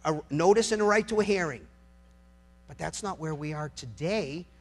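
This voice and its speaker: A man's voice: on the high side, animated, normal speed, faint music behind. An American man, a lawyer, giving an impassioned public speech, emphatic and sardonic, using rhetorical questions and repeating phrases for effect.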